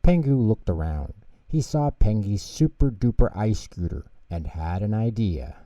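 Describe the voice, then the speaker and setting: A man narrating children's bedtime stories in an expressive storytelling style. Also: lowish voice, unhurried, no echo. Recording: dull, lo-fi close recording.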